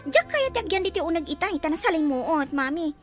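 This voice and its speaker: A woman's voice: very high voice, sing-song, fairly quick, over music. A woman playing a jealous, scheming young schoolgirl in an Ilocano radio drama, emotional and pleading, with an Ilocano accent. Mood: hurt, pleading.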